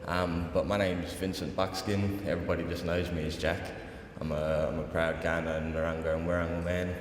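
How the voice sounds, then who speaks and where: A young man from South Australia with an Australian accent, giving an informal, unscripted welcome speech in a relaxed, colloquial way. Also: sits low, a little fast. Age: young adult. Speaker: dance group leader and language teacher giving a welcome. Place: large reverberant hall at a conference. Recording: echoey hall with long reverb, background noise.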